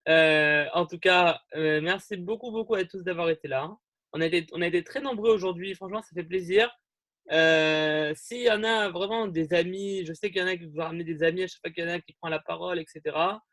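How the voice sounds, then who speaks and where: A young man leads an online group gathering, talking over a video call. His voice sits a little high and the sound is dry and close. He rambles in a friendly way, full of fillers and restarts. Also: brisk, fairly bright tone, no room sound. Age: young adult. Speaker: youth group leader hosting an online meeting.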